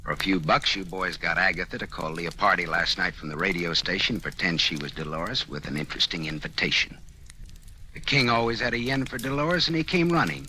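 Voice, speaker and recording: An actor playing a sardonic, slangy, tough private detective in an old-time radio drama. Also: male voice, sits low, fairly quick, sharp tone. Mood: accusing, sardonic. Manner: sardonic, slangy, hard-boiled delivery. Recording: noisy vintage radio recording.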